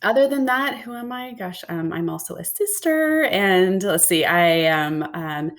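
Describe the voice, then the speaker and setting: A woman's voice: fairly low pitch, sing-song, measured pace. Friendly woman guest, hesitant and laughing. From born in Hawaii, raised in San Diego. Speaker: guest, business owner. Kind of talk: casual interview chat.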